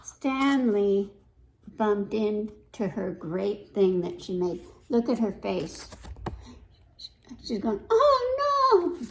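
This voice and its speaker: A woman's voice: mid-range voice, slow, vocal fry, pauses often, warm tone, slight background noise. A woman hosting a children's story time, reading aloud and chatting directly to the young listeners with questions.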